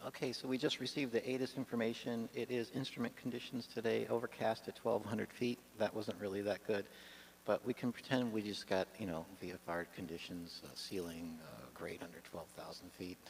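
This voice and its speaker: A man giving an informal, halting lesson as he demonstrates pilot procedures, speaking in an improvised way. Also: fairly low pitch, vocal fry, poor audio. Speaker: pilot demonstrating radio practice. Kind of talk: informal instructional talk. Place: garage. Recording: faint background noise.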